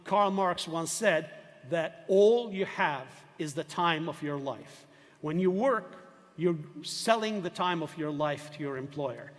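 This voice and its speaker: A man's voice: a little high, animated, takes it slowly. Older male professor lecturing in a hall. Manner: discursive, emphatic, rhetorical questions. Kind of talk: university lecture. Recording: long hall reverb.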